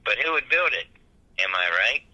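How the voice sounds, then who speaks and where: A man giving a comedic press conference speech as a mock candidate, with deadpan delivery. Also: medium pitch, normal speed.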